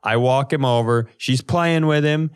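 A man co-hosting a podcast, telling comic stories in a casual, animated, sweary way and acting out quotes. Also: medium pitch, a little slow, smooth. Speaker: podcast co-host. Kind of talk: casual comedic storytelling chat.

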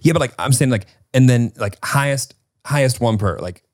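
A man's voice: mid-range voice, moderate pace, no echo, studio quality. A man co-hosting a podcast in casual chat, joking and playful. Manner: joking, playful, animated delivery. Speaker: podcast co-host.

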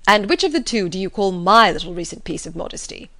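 A woman voicing a good-natured, easygoing young gentleman who talks in a lively, cheerful way. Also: medium pitch, fast talker, dry recording, clean studio sound.

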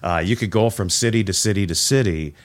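A man's voice: lowish voice, fairly quick, no echo. A middle-aged man hosting a radio interview, chatting casually and rambling through nostalgic stories. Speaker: radio host.

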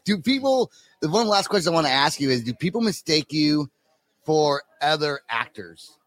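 A man's voice: a little high, animated, moderate pace, a bit bright. A man hosting a podcast at a convention, asking loose rambling questions and laughing often. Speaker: podcast host. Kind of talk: casual interview questions. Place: pop culture convention.

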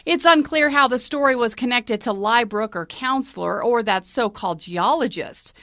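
A woman reading a TV news report in a crisp, scripted way. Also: fairly high pitch, moderate pace, fairly bright tone, dry recording, clean studio sound.

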